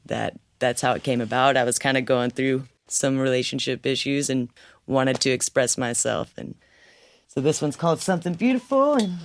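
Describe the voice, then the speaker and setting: Easygoing musician with a slightly raspy voice. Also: mid-range voice, animated, moderate pace, no room sound, studio quality. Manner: easygoing, laughs often, talks freely. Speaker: musician guest. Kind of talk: casual interview chat.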